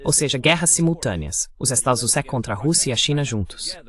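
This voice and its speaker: A man's voice: medium pitch, lively intonation, quick pace, a bit bright. A man delivering political commentary as the translated voice of an interview guest. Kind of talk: Portuguese voice-over of political commentary.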